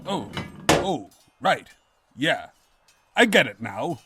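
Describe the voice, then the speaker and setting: A man's voice: a little high, very animated, slow pace, bright, forceful tone, slightly rough, no echo, faint music behind, exaggerated voice. An actor playing a pompous evil pony king, grandiose yet cowardly, in a bombastic comedic audio drama style. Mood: deflated. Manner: bombastic, theatrical declarations.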